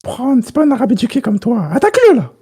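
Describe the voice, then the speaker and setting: A woman's voice: a little high, very animated, fast talker, mellow, husky, heavily acted. A woman improvising a bossy, teasing young recruiter in comedy role-play, goading in casual slang. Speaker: amateur role-player on a stream.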